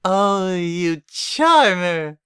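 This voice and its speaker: A man's voice: high-pitched, very animated, extremely slow, sharp tone, smooth, no echo, studio quality, pitched above the performer's own voice, exaggerated voice. A man voicing a tipsy, flirtatious, refined lady pony, slurred and gushing, in a story reading. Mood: giddy. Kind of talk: dramatic fan fiction reading.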